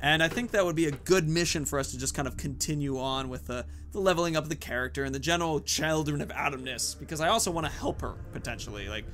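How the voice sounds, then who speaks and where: A man's voice: a little high, fast talker, few pauses, a bit bright. A man giving casual let's play commentary, musing aloud with joking asides as he goes.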